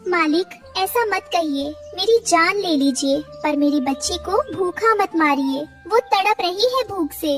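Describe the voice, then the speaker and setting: Woman voicing a humble high-pitched mother bird. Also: animated, a little slow, hardly any pauses, a bit bright, far from the mic, faint music behind, full character voice. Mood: desperate pleading. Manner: pleading, deferential. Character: poor humble mother bird, desperate yet devoted.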